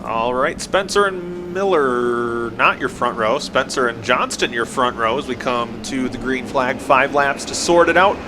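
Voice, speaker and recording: A man with a dry, measured announcing manner, giving live stock car race commentary. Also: mid-range voice. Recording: loud vehicle noise behind. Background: race cars and trackside noise.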